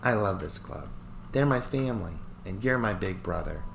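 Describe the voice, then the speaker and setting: Man doing a sweet clingy little-sister girl, soft and hushed, roomy echo. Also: deep voice, animated, moderate pace, gravelly, heavily acted. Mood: tender. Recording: roomy echo, faint noise.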